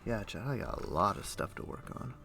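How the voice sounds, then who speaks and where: A man livestreaming a game, chatting casually and thinking aloud in a rambling way, with a rough morning voice. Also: on the low side, sing-song, brisk, noisy background. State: rough morning voice, throat clearing. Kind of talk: casual chat while playing an online game.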